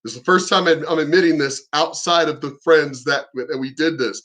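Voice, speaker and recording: A man with a high, bright voice rants in a combative, mocking way, swearing freely. He sounds pointed and sharp as he tears into what he is reacting to. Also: brisk. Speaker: reaction commentator. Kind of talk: ranting reaction commentary.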